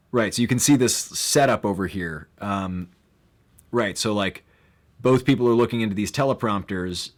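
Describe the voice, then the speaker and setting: A young man hosting a casual live stream, rambling with lots of fillers and bursts of excitement. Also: lowish voice.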